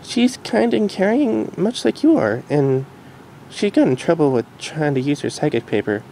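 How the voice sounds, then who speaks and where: A man puts on a knowing cartoon mare from the future, gentle and slightly cute. The pitch sits high and the tone is mellow, with faint noise behind. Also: normal speed, creaky, low-quality recording. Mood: fond. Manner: calm, explanatory, slightly cute.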